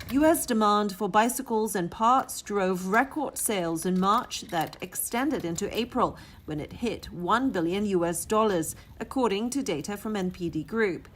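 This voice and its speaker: A woman delivering broadcast business news as a reporter, in a polished, emphatic manner. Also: mid-range voice. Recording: music under voice, some location noise.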